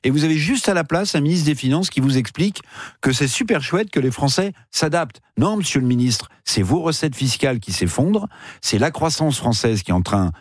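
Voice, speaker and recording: A man's voice: on the high side, animated, fairly quick, no echo. A man giving heated, emphatic commentary in a radio studio debate. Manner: emphatic, indignant.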